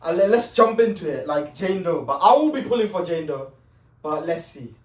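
A British man in his twenties hosts with slangy, casual chat and a disbelieving manner. His voice is fairly high. The sound is distant and echoey, from a living room. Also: sing-song, moderate pace. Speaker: reaction video host. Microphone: far camera.

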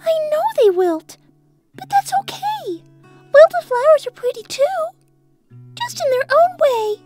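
A woman's voice: very high-pitched, big pitch swings, a little slow, darkish tone, no room sound, faint music behind, heavily acted. A woman voicing a sweet, polite little girl rabbit in an earnest, childlike way for a cartoon comic dub. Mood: cheerful, sweet.

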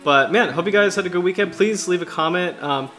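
A man in his thirties from the US Chesapeake Bay region, hosting a casual livestream in a halting, self-deprecating way, his throat slightly raspy from allergies. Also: sits high, a bit bright, faint music behind. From United States, Chesapeake Bay region. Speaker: livestream host.

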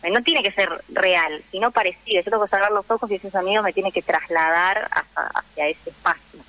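A woman with an Argentine Rioplatense accent, explaining things in an animated, conversational way as a guest in a radio interview. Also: mid-range voice, fairly quick, rough recording. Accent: Argentine Rioplatense Spanish. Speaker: interview guest, actress and theatre teacher.

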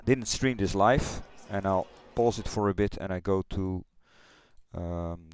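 A middle-aged man with a Dutch accent, chatting loosely through a replay of his racing game run, halting and correcting himself as he goes. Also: deep voice, clean tone. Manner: halting, rambling, self-correcting. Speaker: sim racing streamer. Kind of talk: casual replay commentary. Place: home gaming room.

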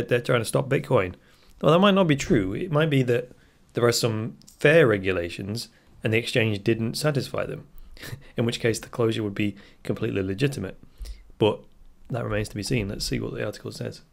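A man hosting a podcast, reading a news article aloud and commenting on it as he goes, thinking out loud and correcting himself. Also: mid-range voice, gravelly. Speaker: podcast host.

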